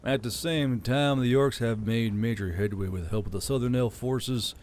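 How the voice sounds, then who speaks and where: A man narrating a fantasy novel in a measured, even storytelling manner. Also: mid-range voice. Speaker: audiobook narrator. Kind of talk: scripted fiction narration.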